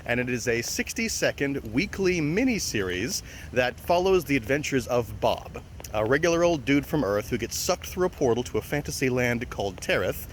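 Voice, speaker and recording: A man's voice: mid-range voice, slight background noise. A man giving an informal, enthusiastic promotional message as a series creator.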